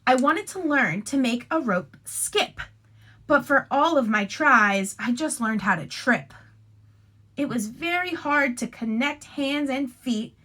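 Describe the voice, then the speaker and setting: A woman reading a picture book aloud to young children like a teacher, with expressive, deliberate phrasing and friendly asides. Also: sits high.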